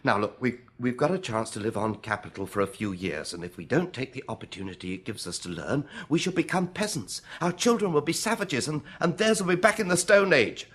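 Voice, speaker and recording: A practical, argumentative survivor in a radio drama. His bright, forceful voice swings widely as he presses his case with persuasive emphasis. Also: mid-range voice, expressive, fairly quick, few pauses, slightly rough. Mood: urgent, lecturing.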